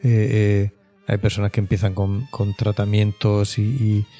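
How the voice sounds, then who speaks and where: A man's voice: fairly low pitch, flat delivery, unhurried, dark tone, soft background music. A man explaining as an expert guest in an interview, thinking aloud hesitantly and checking with question tags. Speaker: mental health expert guest.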